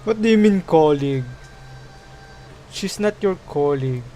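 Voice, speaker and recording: Slow, halting male game streamer. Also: a little high, lively intonation, dark tone. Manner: slangy, halting. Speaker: livestreamer. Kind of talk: casual game reaction commentary.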